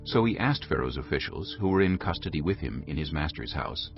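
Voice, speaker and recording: A man giving a solemn, measured and dramatic narration of scripture, formal in delivery. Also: rumbling low, big pitch swings, gravelly, lo-fi sound, faint music behind.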